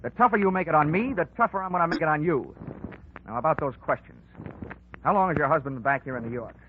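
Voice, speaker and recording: An actor playing a tough, wisecracking insurance investigator, wry and sardonic, in a 1940s radio detective drama. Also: male voice, fairly high pitch, fast talker, a little hiss or hum, natural voice. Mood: tough questioning. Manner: wry, hard-boiled, sardonic. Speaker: lead investigator and narrator. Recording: tinny 1940s radio recording.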